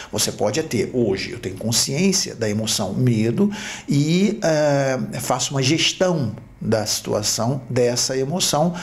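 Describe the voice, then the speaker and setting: A middle-aged man from Rio with a Brazilian Portuguese accent, a podcast host telling his life story in a reflective, halting way. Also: medium pitch, creaky, darkish tone. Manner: reflective, halting with repeated restarts. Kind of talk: personal storytelling.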